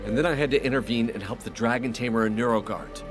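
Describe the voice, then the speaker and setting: A man's voice: mid-range voice, fast talker, music in the background, close to an everyday voice. A man playing a sober, worried investigator in a fantasy game, grave and matter-of-fact. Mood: grave worry.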